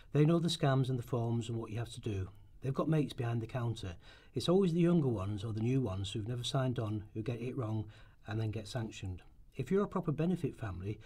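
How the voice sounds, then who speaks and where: A man's voice: mid-range voice, warm tone, breathy edge. A man reading scripted narration aloud for a radio play.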